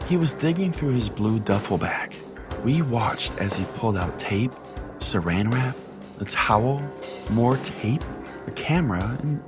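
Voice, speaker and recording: A man's voice: lowish voice, close to an everyday voice. A man playing a crude, self-righteous small-town pastor who hosts a call-in radio show, pompous and foul-mouthed. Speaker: podcast host and storyteller. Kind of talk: comedic storytelling in radio call-in format.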